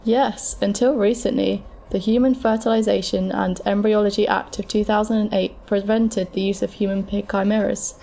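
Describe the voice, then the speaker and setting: A woman plays a calm medical scientist in an audio drama. Her voice is mellow and mid-pitched with plenty of vocal fry. She explains things in a measured, matter-of-fact way. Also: close to an everyday voice, rough recording. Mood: reassuring, frank. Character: frank, calm futuristic transplant scientist advising a patient. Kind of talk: scripted explanatory dialogue in an amateur audio drama.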